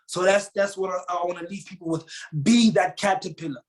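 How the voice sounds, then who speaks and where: A man's voice: high voice, fairly even intonation, fairly quick. Earnest South African man, motivational. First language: Tswana. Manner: earnest, repeats words, rises to emphatic bursts. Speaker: guest speaker. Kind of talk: motivational storytelling.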